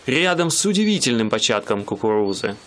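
A man's voice: mid-range voice, sing-song, brisk, close to an everyday voice. A young man playing an aspiring painter in a radio play, speaking in an ironic first-person tone. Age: young adult.

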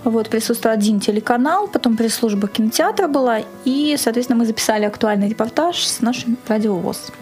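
A woman with a fairly high voice answers as an event organiser in a casual radio interview. She is talkative and often repeats and rephrases a point to stress it. Light music is present with her voice. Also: lively intonation, fast, few pauses, darkish tone.

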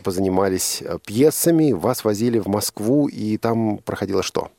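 A man's voice: lowish voice, moderate pace, gravelly, dark tone, no echo. A man hosting a live radio interview, prompting his guest with short questions in an encouraging manner. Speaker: radio host.

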